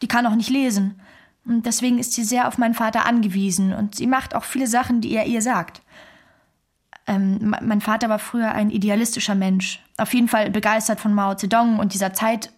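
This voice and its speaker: An actress playing a candid, cheerful Chinese wife in a naturalistic radio play, talking in a matter-of-fact, conversational way. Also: female voice, fairly high pitch, steady tone, a little fast, close to an everyday voice. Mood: reflective, matter-of-fact.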